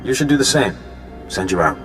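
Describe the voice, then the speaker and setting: An earnest young rebel mole. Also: male voice, lowish voice, very animated, brisk, fairly bright tone, soft background music. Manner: earnest, friendly. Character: idealistic young male resistance mole.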